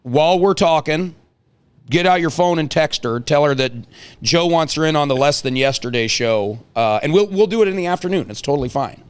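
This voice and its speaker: A man's voice: fairly high pitch, lively intonation, a little fast, clean tone. A man hosting a casual talk show, chatting in a folksy way and prodding guests with questions. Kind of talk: casual chat, interviewing guests.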